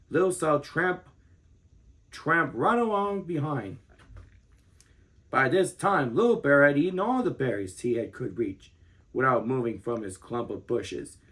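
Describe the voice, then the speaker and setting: Animated man reading a storybook aloud. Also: fairly high pitch. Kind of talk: expressive children's book read-aloud.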